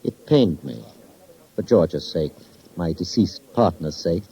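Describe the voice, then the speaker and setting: Mellow, pompous, smug gentleman. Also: sits low, lively intonation, takes it slowly, creaky, slightly rough, exaggerated voice. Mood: wounded, disdainful. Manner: ornate, pompous, sardonic phrasing. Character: smug, pompous, suavely mocking professional man. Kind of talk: 1950s radio crime drama acting. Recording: dull, hissy vintage radio recording.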